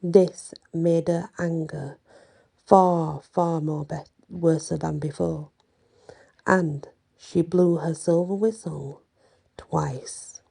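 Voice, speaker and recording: A woman reading a story aloud in an ASMR bedtime style, with halting, deliberate phrasing. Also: sits low, steady tone, gravelly, mellow, husky.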